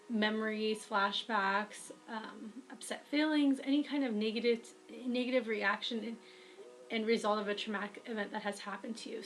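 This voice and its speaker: A young woman with a raspy voice, speaking to viewers into a webcam. She gives earnest, friendly advice in a casual vlog. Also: on the high side, fairly bright tone, poor audio. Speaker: writer giving advice.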